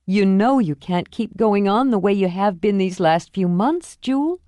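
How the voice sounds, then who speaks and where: A woman's voice: medium pitch, expressive, normal speed, mellow, dry recording, close to an everyday voice. A woman voicing a protective older sister who speaks firmly, with an angry, urgent edge.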